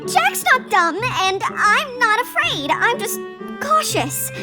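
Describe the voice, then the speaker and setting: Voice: very high-pitched, big pitch swings, slow pace, loud music behind the voice, heavily acted. A performer voicing a cautious, anxious young girl flying squirrel in a children's audio drama. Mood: defensive, glum.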